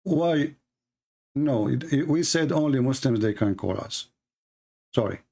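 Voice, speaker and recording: A man's voice: medium pitch, animated, a little slow, creaky, warm tone. A man hosting a live call-in debate stream, arguing in a combative, sarcastic way and speaking fluent English with non-native grammar. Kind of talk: heated live-stream argument.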